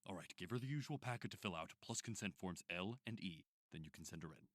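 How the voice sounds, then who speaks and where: An actor playing a probing psychiatrist who runs an institute, speaking in a measured, formal way in an audio drama. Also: male voice, low-pitched, expressive, fairly bright tone, a little hiss or hum. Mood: brisk. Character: smooth, probing male psychiatrist running an institute.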